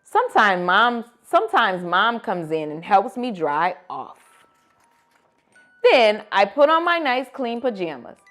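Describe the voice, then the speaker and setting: A woman reading picture books aloud to children as host, with expressive, dramatic emphasis. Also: mid-range voice, slow, faint music behind. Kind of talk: animated storybook read-aloud for children.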